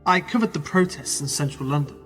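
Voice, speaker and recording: An actor in a radio play voicing a skeptical journalist, with a husky voice and a dry, probing, challenging manner. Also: male voice, on the high side, lively intonation, brisk, a bit bright. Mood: dry, attentive. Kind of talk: radio drama dialogue. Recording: long reverberant hall, music underneath. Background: music.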